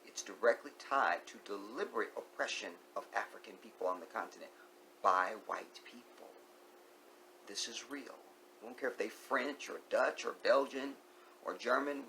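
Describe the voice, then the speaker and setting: A man with a gravelly, creaky voice talks straight to his viewers in an opinionated monologue. He hammers his points by repeating phrases and asking rhetorical questions. Now and then he drops to a hushed whisper over a faint hiss. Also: lowish voice, rough recording. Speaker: vlogger.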